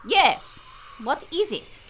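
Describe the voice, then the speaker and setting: A woman's voice: sits high, big pitch swings, takes it slowly, a bit bright, a little hiss or hum, full character voice. A woman acting a dramatic kept mistress in a radio play, with stilted, formal phrasing. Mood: wary, imperious. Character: dramatic, kept mistress with foreign formal speech, "Dragon Lady".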